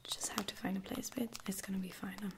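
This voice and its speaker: A woman playing a caring space lieutenant in a whispered ASMR roleplay, breathy, hesitant and soft-spoken. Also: medium pitch, steady tone, fast, background noise, close to an everyday voice. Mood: reassuring. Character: caring, reassuring female space lieutenant tending a wounded soldier.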